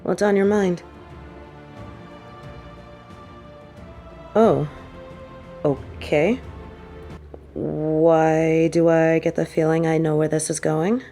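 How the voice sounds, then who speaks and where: A woman's voice: deep voice, fairly even intonation, warm tone, faint music behind. A woman playing a sardonic, vengeful supervillain with a tender side for her partner, in an intimate audio roleplay. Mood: flustered, hesitant. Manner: teasing, sighing, halting when confessing.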